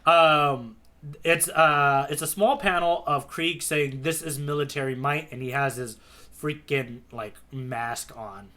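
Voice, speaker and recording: A man's voice: a little high, takes it slowly, bright, forceful tone. A man co-hosting a podcast, talking casually about manga. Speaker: podcast co-host.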